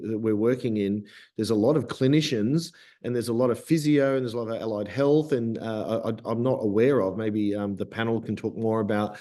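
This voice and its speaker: A man's voice: medium pitch, dark tone. An Australian man moderating an online panel, rambling and stumbling over repeated words.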